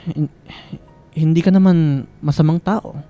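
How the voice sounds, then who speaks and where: A man plays a quiet young Filipino student in a radio drama, the supportive friend. His voice is mellow and his delivery halting. Faint music sits behind him. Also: a little high, steady tone, muffled recording, close to an everyday voice. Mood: subdued, supportive. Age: young adult. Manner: hesitant, halting. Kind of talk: radio drama acting with English code-switching.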